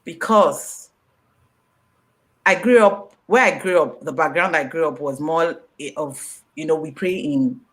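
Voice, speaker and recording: Animated woman, Yoruba native, interviewee. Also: sits low, a little slow, poor audio. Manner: animated, laughing, repetitive fillers. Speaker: author being interviewed. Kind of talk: book launch interview.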